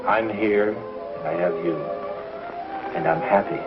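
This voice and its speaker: An actor playing a patient, affectionate pioneer husband in 1950s television western style. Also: male voice, fairly low pitch, a little slow, natural voice. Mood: reassuring. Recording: dull 1950s TV soundtrack with music.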